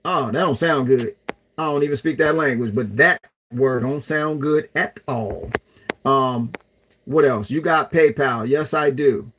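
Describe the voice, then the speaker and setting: A man's voice: mid-range voice, a little slow, a bit bright, slight background noise. Casual male streamer, answering chat in a rambling way. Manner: chatty, loose, talks to viewers as y'all. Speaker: livestream host reading chat.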